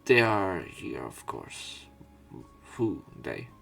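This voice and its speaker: A man's voice: sits low, sing-song, very slow, creaky, a bit bright, soft background music. A man playing through a visual novel, reading its narration aloud and adding casual, informal commentary of his own. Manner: informal, swearing in reactions. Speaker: let's player.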